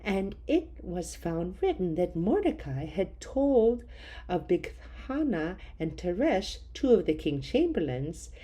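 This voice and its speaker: Slow, measured woman reading scripture. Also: on the low side, sing-song, mellow, slightly rough. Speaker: scripture reader.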